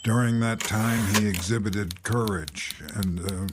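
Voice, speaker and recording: An actor playing a weary, burdened army captain in a radio drama, speaking in a measured, grave way. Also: male voice, on the low side, steady tone, noisy background, close to an everyday voice. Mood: sombre. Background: music score.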